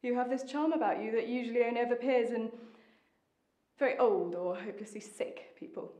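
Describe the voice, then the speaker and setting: Woman doing a british wife in a stage monologue, longing and bitter, highish voice, dramatic pauses, really echoey room. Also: warm tone, natural voice. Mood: wistful. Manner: intense, deliberate, with dramatic pauses. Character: Maggie, lonely, bitter, longing, determined young wife. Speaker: acting student.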